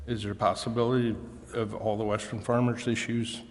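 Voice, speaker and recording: A man speaking as a council member in formal debate, with halting, deliberate phrasing. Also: on the low side, level tone. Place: council chamber. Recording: echoey hall.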